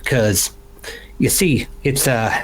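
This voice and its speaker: A man playing an earnest, self-promoting adventurer who speaks in a halting, reflective way in an improvised interview. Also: mid-range voice, breathy edge.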